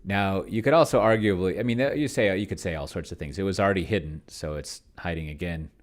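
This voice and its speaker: Low-voiced man rambling through his thoughts. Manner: rambling, halting, muttering to himself. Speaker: host of a solo roleplaying video. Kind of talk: casual thinking-aloud commentary while playing a tabletop game. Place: at home, at a computer.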